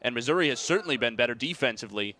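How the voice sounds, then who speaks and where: Bright-voiced young American baseball announcer. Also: male voice, mid-range voice, lively intonation, very fast. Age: young adult. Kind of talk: sports play-by-play commentary.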